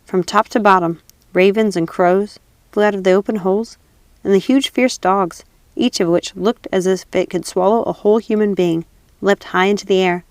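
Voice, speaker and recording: A woman's voice: mid-range voice, no echo. A woman narrating a classic fairy tale as a storybook reader.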